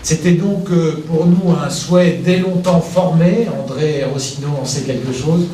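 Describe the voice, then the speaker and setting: A man speaking as an elected official in a formal ceremonial address, deliberate and rhetorically repeating phrases. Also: a little high, mellow. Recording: echoey room, distant mic, background noise.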